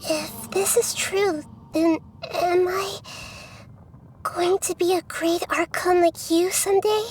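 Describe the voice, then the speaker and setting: Slow, high-voiced earnest child goddess. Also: female voice, fairly even intonation, slight background noise. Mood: wistful. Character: small, earnest young child goddess, soft-spoken and thoughtful. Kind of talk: dramatic game voice acting.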